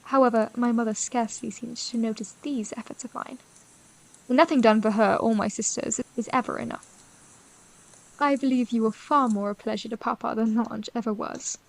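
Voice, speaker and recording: An actress playing a wistful, overlooked young sister in a period audio drama, with polite, formal and expressive speech. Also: female voice, fairly high pitch, normal speed, creaky, rough recording. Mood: wistful, proud. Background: music.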